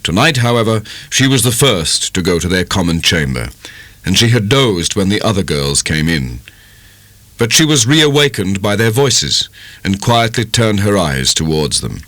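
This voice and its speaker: A British man narrates a classic novel in a deep voice with animated, expressive intonation. His delivery is dramatic yet measured, and the recording is close and dry. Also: no echo. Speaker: audiobook narrator. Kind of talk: dramatic scripted literary narration.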